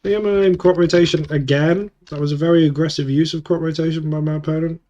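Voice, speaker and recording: A man's voice: on the high side, fairly even intonation, fast talker, dark tone, muffled recording. Sniffly man muttering game commentary. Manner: muttering, self-correcting play-by-play. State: sniffly with a cold and sore throat. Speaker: card game streamer. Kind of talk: thinking-aloud gameplay commentary.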